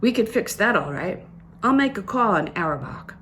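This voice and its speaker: A self-satisfied young businessman from a novel, read by a woman, speaks with assurance and a knowing air. The pitch moves in broad swings, with faint noise behind it. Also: very animated, normal speed, a bit bright. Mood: confident.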